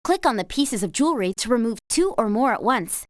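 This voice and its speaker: An actress playing a cheerful, encouraging young horse rider in a video game, with an American accent. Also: female voice, fairly high pitch, sing-song, fairly quick, dry recording, studio quality. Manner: perky, encouraging. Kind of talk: scripted video game character dialogue.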